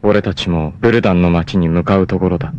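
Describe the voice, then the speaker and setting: A man voicing a proud, grim, long-haired male martial artist in a 1980s anime, speaking intensely and often bursting into shouts. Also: low-pitched, steady tone, fast, lo-fi sound, background noise, close to an everyday voice. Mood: resolute. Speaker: voice actor.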